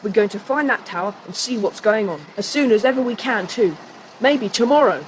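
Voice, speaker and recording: An actor playing a sensible, confident schoolboy who leads young adventurers in a children's audiobook drama, speaking briskly. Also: medium pitch, animated, background noise, lightly acted. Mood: brisk, intrigued.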